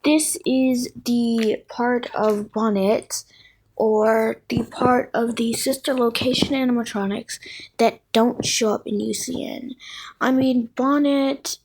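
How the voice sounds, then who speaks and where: A woman's voice: fairly high pitch, fairly even intonation, takes it slowly, a little mellow, poor audio. A woman hosting casual fan commentary on game characters, thinking aloud and often correcting herself. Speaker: video creator, host.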